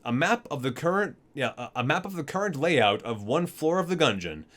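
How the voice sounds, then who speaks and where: A man's voice: fairly high pitch, sing-song, fairly quick, a bit bright. A man hosting an ASMR video, reading guidebook entries aloud and sometimes stumbling and correcting himself.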